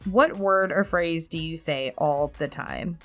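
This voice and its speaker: A woman's voice: deep voice, slow, faint music behind. A woman casually chatting through a question-and-answer session, candid and self-deprecating, with a freely sweary way of talking. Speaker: online creator answering subscriber questions. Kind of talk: casual Q&A chat.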